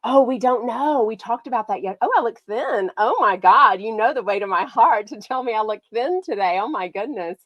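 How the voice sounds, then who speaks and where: A chatty woman hosts a gossip livestream, excitable and quick to gush. A mild cold leaves her a bit nasal. Her voice sits fairly high and sounds dry and close. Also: dry recording, highs cut off. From Texas. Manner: bubbly and excitable, lots of "like" and "oh my gosh". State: a slight head cold, nasal. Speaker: podcast host.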